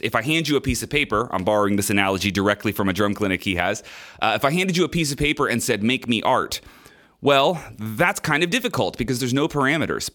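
A man's voice: mid-range voice, fairly bright tone. Nasal man with a sinus infection. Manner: candid, with frequent fillers and repeated words. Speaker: podcast host and drummer. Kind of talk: casual podcast monologue.

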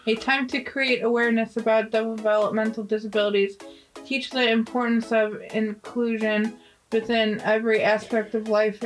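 A woman's voice: on the high side, rough recording. A woman co-host on a podcast, chatting casually and reading out announcements. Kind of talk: casual chat and read announcements.

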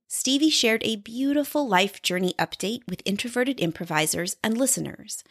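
A woman's voice: medium pitch, vocal fry, breathy edge, no echo, studio quality. A woman podcast host reading a scripted introduction to a show.

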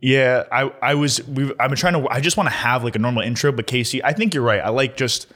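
A man's voice: mid-range voice, quick pace, a bit bright. A man co-hosting a podcast in casual chat, teasing and laughing often. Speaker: podcast co-host.

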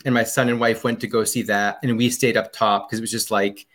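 A man's voice: fairly low pitch, level tone, fairly quick, fairly bright tone. A man as a podcast guest in casual chat, rambling about a trip with many ums and restarts.

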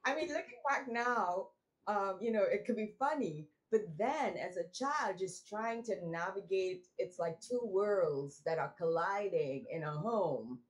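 A woman from Trinidad, a guest telling her life story in a relaxed, reflective way with many restarts. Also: medium pitch, a little slow. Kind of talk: casual interview conversation.

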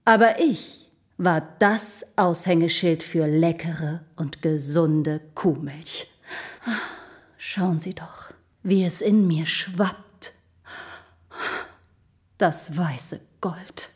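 Vain, seductive talking carafe diva. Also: female voice, sits low, very slow, a little mellow. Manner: breathy purring, dramatic, condescending endearments. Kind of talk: scripted audio drama acting.

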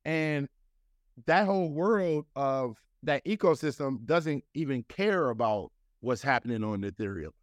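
A man's voice: medium pitch, lively intonation, a little slow, dry recording. A man in his thirties from the United States talks as an interview guest in a mid-range voice. He rambles and circles back, full of "you know" and "like." The sound is close and dry, with no room around him. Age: 30s. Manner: loose, rambling, heavy with fillers like "you know" and "like". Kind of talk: casual conversational interview.

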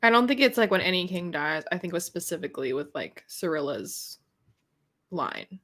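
Wry woman chatting, slightly low voice. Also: lively intonation, moderate pace, fairly bright tone. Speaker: book podcast host. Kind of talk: casual chatty book discussion.

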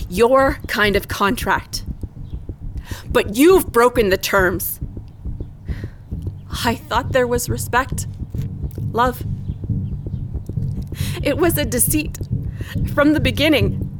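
A woman acting a wronged, resolute middle-aged wife in a poetic audio drama monologue, intense and deliberate. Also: on the high side, slight background noise. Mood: bitter, resolute. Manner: slow, deliberate, intense delivery.

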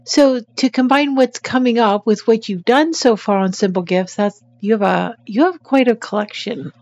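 A woman's voice: fairly high pitch, normal speed, darkish tone, dry recording, lo-fi sound. A woman co-hosting a podcast in casual, agreeable conversation. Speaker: podcast co-host.

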